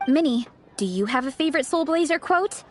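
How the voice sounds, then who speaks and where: A woman's voice: sits high, lively intonation, a little fast, faint music behind. A woman voicing an enthusiastic, earnest young woman, a hungry fighter and fan, in a video game.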